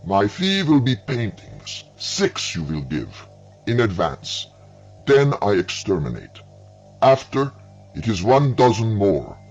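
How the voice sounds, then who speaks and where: A man's voice: fairly low pitch, huge pitch swings, takes it slowly, a little mellow, exaggerated voice. Alien exterminator, male, eager and insistent, broken English, raised and drawn out, read by a man; storybook. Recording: dull lo-fi with faint music.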